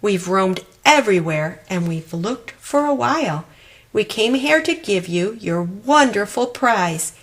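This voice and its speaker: A woman's voice: medium pitch, animated, unhurried. A woman voicing a big, hairy, friendly male monster who is jovial and exclaims effusively, in a children's storybook. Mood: delighted.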